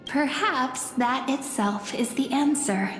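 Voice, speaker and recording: A gentle, teasing young maiden in a fantasy game. Her high voice moves very slowly and serenely, floating in long reverb. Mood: sweet, teasing. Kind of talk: video game voice acting. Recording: echoey reverb, music behind.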